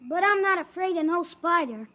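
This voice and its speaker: A woman's voice: very high voice, expressive, moderate pace, sharp tone, exaggerated voice. A woman playing a curious, naive young farm boy on Mars in an old-time radio drama. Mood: shy, curious. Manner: naive, questioning, childlike. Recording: vintage radio drama broadcast.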